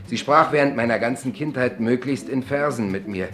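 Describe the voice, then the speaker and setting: Ein Schauspieler spielt in einem deutschen Hörspiel einen naiven, ernsthaften Gelegenheitsdichter, arglos und redselig. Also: male voice, medium pitch, moderate pace, a bit bright, lightly acted. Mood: proud, sincere. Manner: guileless, chatty, declaims rhymes solemnly. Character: naive, earnest amateur greeting-card poet, mild-mannered man. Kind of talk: German radio play acting.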